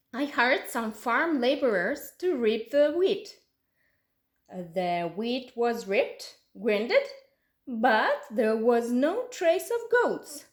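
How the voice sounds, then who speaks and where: A woman with an Uzbek accent voicing a fat, rich, greedy older man who boasts deliberately in a storybook folk tale. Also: a little high, heavily acted. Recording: roomy, echoey sound.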